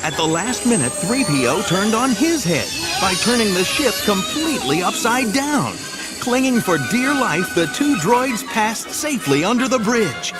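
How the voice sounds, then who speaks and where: A man's voice: sits high, big pitch swings, measured pace, poor audio. A man narrating a scripted adventure drama in a theatrical, suspenseful way, with music behind him.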